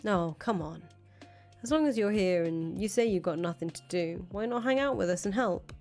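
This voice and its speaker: A woman's voice: mid-range voice, lively intonation, moderate pace, a little mellow, close to an everyday voice. A woman voicing a brash, blunt, foul-mouthed revolutionary leader in a visual novel, talking casually. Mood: pleading, frank. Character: brash, foul-mouthed revolutionary leader, volatile but attached to her friend. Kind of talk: visual novel dialogue reading. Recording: music behind the voice. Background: music.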